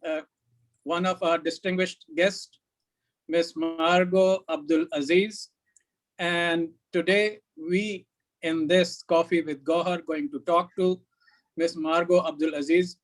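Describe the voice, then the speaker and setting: Male interview host, slightly high voice, formal welcoming delivery. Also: rough recording. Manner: formal, ceremonious phrasing. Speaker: talk show host.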